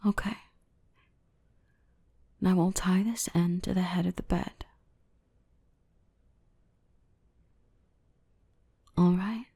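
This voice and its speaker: Gentle dominant dark elf , played by a woman: low, breathy-soft ASMR murmur with creaky edges, tender and reassuring. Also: dry recording, full character voice. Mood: soothing, careful. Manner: soothing, unhurried, reassuring, often whispering. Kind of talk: ASMR roleplay, softly whispered and spoken.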